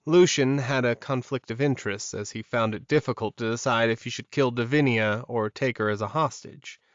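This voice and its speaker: A man's voice: mid-range voice, normal speed, no echo. Dry, slightly dull male audiobook narrator. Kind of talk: scripted dramatic fiction narration.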